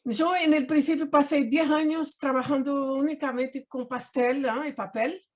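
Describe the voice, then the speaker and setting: A woman's voice: high-pitched, steady tone, normal speed, darkish tone. An older Brazilian woman speaking Spanish with a Portuguese accent, chatting expressively over a video call and dotting her talk with tag questions. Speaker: guest artist. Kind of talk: casual interview chat.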